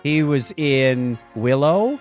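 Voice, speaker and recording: A man's voice: medium pitch, expressive, slow pace, darkish tone, faint music behind. A man co-hosting a podcast, bantering casually and reading out items with wry, joking asides. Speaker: podcast co-host.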